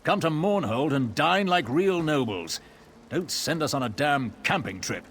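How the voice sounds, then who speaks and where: A man's voice: a little high, animated, unhurried, a bit bright, slight background noise. A man playing a haughty, easily offended elven nobleman in a fantasy video game, with a scornful manner. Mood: haughty indignation. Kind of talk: video game character acting.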